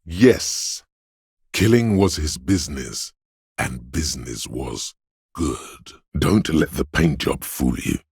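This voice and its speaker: A menacing, paranoid inner voice of raw survival instinct from a video game. It is extremely low and badly husky, goading the listener with profane, ominous warnings. The delivery is slow and deliberate. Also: male voice, no echo, studio quality, heavily acted. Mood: dark warning. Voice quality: deep, gravelly, husky rasp. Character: menacing, paranoid primal fight-or-flight instinct speaking inside a detective's head. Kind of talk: dramatic video game voice acting, second-person inner voice.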